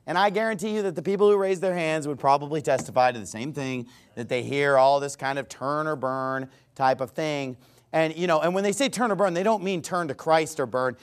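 An American man preaching a sermon in a conversational, argumentative way, stressing points by repetition and addressing listeners directly in a folksy manner. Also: fairly high pitch. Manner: emphatic, repeats phrases for stress.

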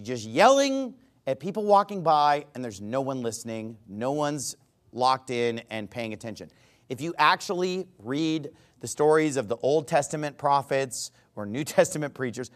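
Animated high-pitched American preacher man. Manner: emphatic, repeats phrases for stress; folksy direct address to listeners. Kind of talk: sermon, conversational and argumentative.

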